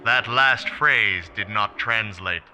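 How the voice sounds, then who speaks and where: A man's voice: on the low side, slow, sharp tone, exaggerated voice. A man playing a formal, grave extraterrestrial in a radio play, speaking in stiff, literal phrases. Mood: solemn. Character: formal, grave extraterrestrial being, puzzled by humans.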